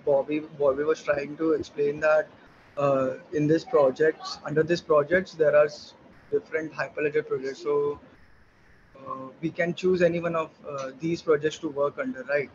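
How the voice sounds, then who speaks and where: A man's voice: a little high, level tone, moderate pace. A man introducing himself on a video call, speaking hesitantly with many fillers and repeated words. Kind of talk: casual video call chat. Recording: dull lo-fi call audio with noise.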